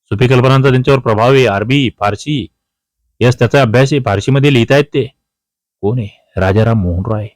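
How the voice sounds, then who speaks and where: Guy teacher going thru exam quiz questions, mid pitch, really dry close sound, repeats answers. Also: a little fast, dry recording. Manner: repeats key answers, prompts students with questions. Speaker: teacher of competitive exam history. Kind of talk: quiz lesson, reading out questions and explaining answers.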